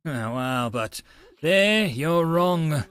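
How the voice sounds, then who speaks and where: Guy doing a young mad scientist dude, super pompous and theatrical, pitch swoops, dry game narration sound. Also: expressive, extremely slow, a bit bright, clean tone, no echo, heavily acted. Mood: demanding, smug. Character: grandiose young male self-proclaimed mad scientist.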